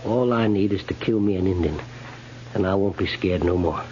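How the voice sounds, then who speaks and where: An American actor playing a blunt, cocky, rustic trooper in a 1950s radio western. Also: male voice, low-pitched, moderate pace, close to an everyday voice. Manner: rustic, folksy grammar and blunt delivery. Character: blunt, cocky, rough-hewn cavalry trooper. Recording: muffled, noisy 1950s radio recording.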